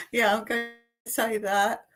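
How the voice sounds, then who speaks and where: A woman's voice: a little high, fairly bright tone. A woman in her late fifties hosting a casual live Q&A, reading viewer comments aloud and chatting about them with frequent laughs. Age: 50s. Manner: chatty, laughs often between remarks. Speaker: channel host. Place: home in rural Cyprus.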